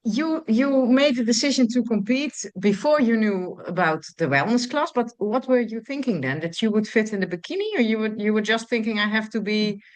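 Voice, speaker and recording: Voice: medium pitch, lively intonation, rough recording. Hesitant host. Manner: hesitant, halting phrasing. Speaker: podcast host. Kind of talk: casual interview chat.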